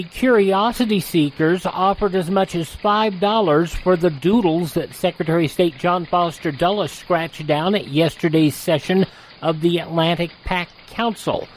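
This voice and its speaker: A man's voice: fairly high pitch, a little hiss or hum, low-quality recording. A man reading scripted news headlines as a radio presenter.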